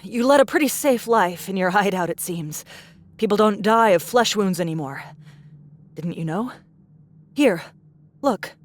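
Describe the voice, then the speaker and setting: A woman's voice: medium pitch, lively intonation, measured pace, long pauses, natural voice. A woman playing a tough, matter-of-fact sci-fi fighter, cool and dry, in an audiobook drama. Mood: wry.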